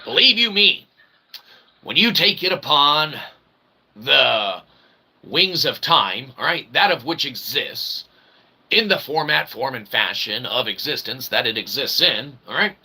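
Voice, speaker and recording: A man delivering a rambling comic monologue as a channel host, mock-pompous and wordy, with a theatrical delivery and a gravelly voice. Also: medium pitch, expressive, unhurried, bright, forceful tone. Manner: mock-pompous, wordy, repeatedly ending phrases with "okay". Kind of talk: rambling philosophical comedy monologue.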